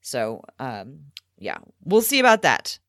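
A woman's voice: rumbling low, wildly swooping pitch, takes it slowly, a bit bright, dry recording, studio quality. A woman co-hosting a sports podcast in casual chat, thinking aloud a bit tentatively.

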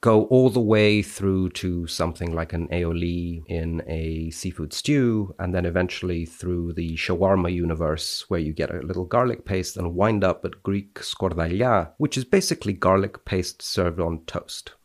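A man speaking as a podcast guest, wry and expressive, with a dryly playful touch. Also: low-pitched, moderate pace, few pauses. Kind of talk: dramatic reading and wry conversation.

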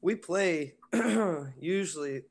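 Raspy-voiced band singer chatting casually. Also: male voice, on the high side, drawn-out words. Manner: relaxed, rambling with many fillers. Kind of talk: casual interview chat.

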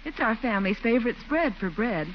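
An actress playing a polite homemaker in a scripted 1940s radio advertisement. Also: female voice, fairly high pitch, animated, a little fast, natural voice. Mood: pleasant. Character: polite homemaker chatting about family food. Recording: muffled, slightly noisy old radio recording.

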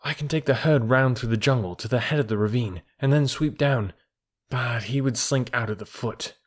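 A man's voice: mid-range voice, normal speed, close to an everyday voice. A man voicing a bold young jungle boy who gives orders eagerly. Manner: eager, commanding calls.

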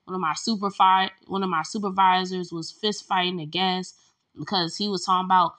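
A woman's voice: on the low side, steady tone, fairly quick, fairly bright tone. A young woman hosting her own podcast, chatting candidly from home while congested with allergies and lying down, with loose fillers and laughs. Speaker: podcast host. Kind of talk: casual solo podcast chat.

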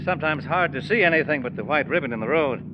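An actor playing a troubled, nervously polite husband at the wheel, hesitant and courteous, in old radio drama style. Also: male voice, a little high, fast, lightly acted. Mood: preoccupied. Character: anguished married man driving, nervously polite and preoccupied. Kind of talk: 1940s radio acting. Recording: muffled old radio recording, car noise.

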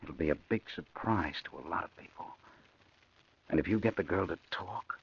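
An actor playing a sly, confident convict in a gravelly, husky voice, in the style of 1940s radio crime drama. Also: male voice, low voice, normal speed. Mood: sly, confiding. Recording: muffled old radio recording.

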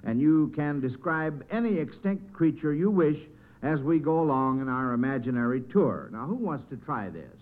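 A man's voice: on the high side, lively intonation, normal speed. An American man hosting an old-time radio quiz in an effusive, encouraging manner. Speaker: quiz show host. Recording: thin, narrow vintage radio recording.

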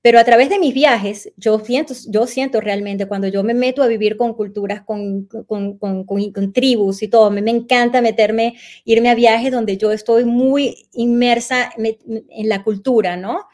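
A Venezuelan woman in her forties, a journalist being interviewed, recalling her path in a reflective storytelling way and pausing to search for words. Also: on the high side, fairly even intonation, a little mellow. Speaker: guest journalist. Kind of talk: relaxed conversational interview.

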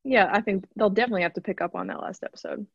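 A woman's voice: mid-range voice, very fast, vocal fry, husky, dry recording, dull audio. A woman actor from Arkansas with a light Southern accent, chatting casually as an interview guest.

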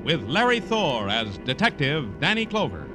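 A man's voice: fairly high pitch, very animated, moderate pace. A man announcing a vintage radio drama in old-time broadcast style. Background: dramatic music.

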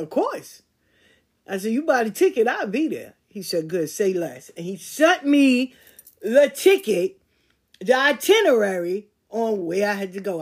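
A woman hosting a podcast, speaking in in a candid storytelling way that swings from loud emphasis to quiet asides. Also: medium pitch, slightly rough. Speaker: podcast host. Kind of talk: casual monologue.

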